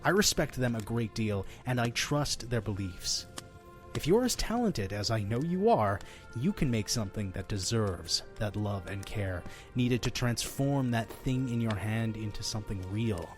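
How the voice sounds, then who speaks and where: Audio drama innkeeper, male actor: low voice, friendly but mysterious, folksy storyteller who persuades earnestly, soft music bed. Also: big pitch swings, a little slow. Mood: earnest, persuasive. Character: mysterious, friendly all-seeing innkeeper who tells stories.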